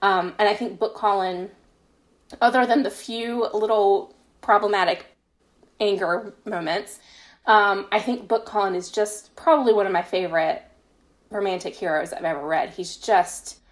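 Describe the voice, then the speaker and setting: A woman's voice: mid-range voice, moderate pace. A woman being interviewed as an author in a casual podcast chat, talking with gushing, emphatic enthusiasm. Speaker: interviewed fan fiction author.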